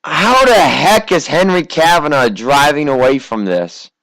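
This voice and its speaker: A teenage American man from Massachusetts giving casual, conversational amateur racing commentary, his voice slightly hoarse from a cough. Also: on the high side, animated, measured pace, fairly bright tone, no echo, poor audio. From Massachusetts, United States. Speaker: race commentator.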